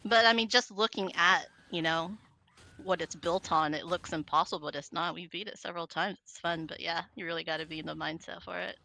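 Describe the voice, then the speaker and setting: A woman's voice: lowish voice, steady tone, fairly quick, vocal fry, bright, forceful tone, poor audio. A woman co-commentating a video game tournament stream from home, upbeat and chatty. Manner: upbeat, chatty, laughs easily. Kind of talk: casual livestream chat.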